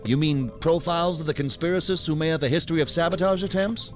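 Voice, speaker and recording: An actor playing a deferential, uneasy agent in a sci-fi audio drama, speaking formally and haltingly. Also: male voice, a little high, faint music behind, natural voice. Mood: dutiful. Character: deferential, uneasy male agent and task force leader. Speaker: subordinate law-enforcement agent.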